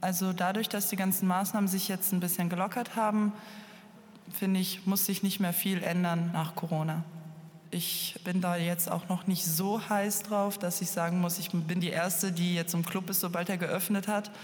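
A young woman in her twenties chats casually and relaxed. Her voice sits a little low. It is wrapped in a long reverb. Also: normal speed. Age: 20s. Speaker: program participant. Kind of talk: casual personal statement.

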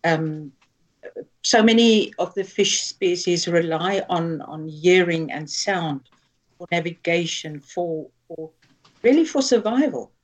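Slow, low-voiced woman. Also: lively intonation. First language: Afrikaans. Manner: hesitant, earnest, many fillers and restarts. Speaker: poet guest. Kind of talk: interview conversation and poetry reading.

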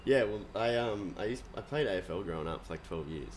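Laid-back young Australian man, low voice. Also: lively intonation, fast talker, a little hiss or hum. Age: young adult. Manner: laid-back, rambling, swears a lot, frequent fillers. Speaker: guest musician. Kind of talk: casual podcast chat.

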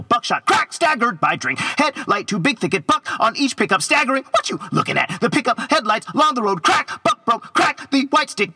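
A man's voice: very high-pitched, sharp tone, husky. A man reciting his own poetry dramatically, in an incantatory, rhythmic delivery with repeated phrases. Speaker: poet reading own work.

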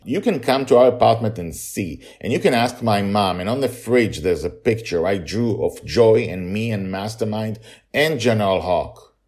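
A man's voice: on the low side, expressive, normal speed, darkish tone, pitched above the performer's own voice, close to an everyday voice. A man voicing an earnest young boy who insists he is telling the truth. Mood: insistent. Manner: pleading, insistent.

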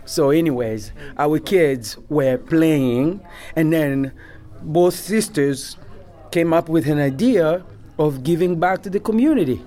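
A man from Congo, a member of a community association, answering interview questions in a halting way with many fillers. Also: on the high side, mellow.

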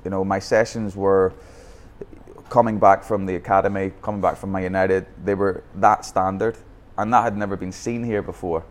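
A man's voice: deep voice, steady tone, normal speed. A man with a Northern Irish accent telling his coaching story in a podcast interview, candid, with frequent restarts. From Northern Ireland. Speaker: youth football academy founder. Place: Bangkok.

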